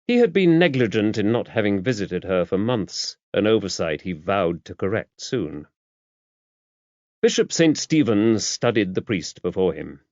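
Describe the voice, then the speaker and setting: A man's voice: on the low side, sing-song, unhurried, a little mellow, no echo. Male audiobook narrator, dull muffled sound. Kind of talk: scripted narration of a mystery novel.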